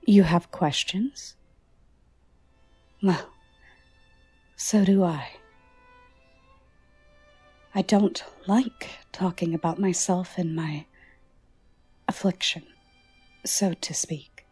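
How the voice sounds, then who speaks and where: A woman playing a soft-spoken, alluring and guarded gothic lady in an ASMR roleplay, formal and teasing, often dropping into a whisper. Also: fairly low pitch, slightly rough, soft background music, heavily acted. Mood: fond, inviting. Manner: slow, formal, teasing endearments.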